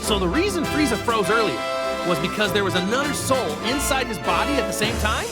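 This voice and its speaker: An eager, naive fighting hero from a game. The voice is boyish and cheerful, swinging freely in pitch. Loud music runs behind it. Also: male voice, lively intonation, a little fast. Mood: eager reasoning. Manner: simple, upbeat, eager. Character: cheerful, naive male martial-arts hero, boyishly confident. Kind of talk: English game dub acting.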